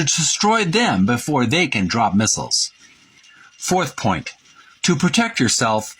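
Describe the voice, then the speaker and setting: Husky man reading aloud, halting and deliberate. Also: medium pitch, fairly bright tone, low-quality recording. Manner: halting, deliberate, itemizing delivery with pauses. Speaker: presenter of a retro video game book. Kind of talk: reading printed text aloud with commentary.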